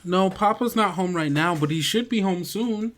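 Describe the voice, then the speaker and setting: Shy little girl, played by a man, lifted pitch, obedient and hesitant, for a tabletop game. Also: moderate pace, a bit bright. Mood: hesitant, uneasy.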